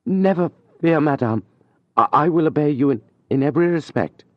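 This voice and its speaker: A man's voice: mid-range voice, moderate pace, no echo, muffled recording, voice pushed up, natural voice. A man voicing a poor, shy young tutor who speaks earnestly and falters at times. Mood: faltering.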